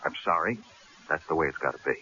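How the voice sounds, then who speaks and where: An actor playing a stubborn, self-assured newspaper columnist in an old-time radio drama, wry and punchy. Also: male voice, very deep voice, fast, sharp tone. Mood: stubborn, insistent. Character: cocky, stubborn male newspaper columnist, breezy and unflappable. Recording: hissy, dull old radio broadcast recording.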